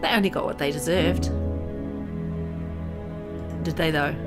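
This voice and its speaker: A grieving father, bitter and haunted by guilt, voiced by a woman. The delivery is brooding and dramatic, as in a visual novel, with loud music underneath. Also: low voice, voice pushed down. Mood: remorseful. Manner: dramatic, brooding, deliberate phrasing.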